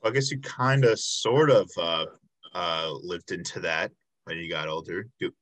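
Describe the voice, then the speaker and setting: A man's voice: deep voice, normal speed, fairly bright tone. A man in his twenties hosting a casual interview, laid-back and loose, with lots of fillers and casual swearing. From Chicago south suburbs, USA. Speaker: podcast host.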